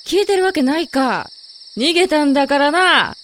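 A woman playing a rough-mouthed, short-tempered young werewolf woman in an audio drama, taunting in coarse, masculine speech. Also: high-pitched, animated, moderate pace, a bit bright, a little hiss or hum. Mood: irritated. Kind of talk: Japanese audio drama acting.

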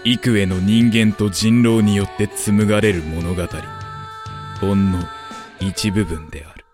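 A man narrating a dark werewolf audio drama in a solemn, measured scripted style. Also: lowish voice, steady tone, loud music behind the voice, lightly acted.

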